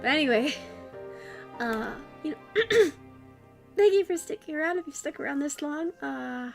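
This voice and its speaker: High bright gal streaming from her desk, rambly, keeps restarting, voice getting hoarse, faint music behind. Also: animated, normal speed. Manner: rambling, self-interrupting, many restarts. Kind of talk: casual livestream chat.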